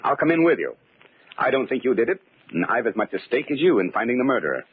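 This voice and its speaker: An actor playing a shrewd, brisk amateur sleuth offering help in an old-time radio mystery, animated and conspiratorial. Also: male voice, mid-range voice, breathy edge. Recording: tinny old radio recording.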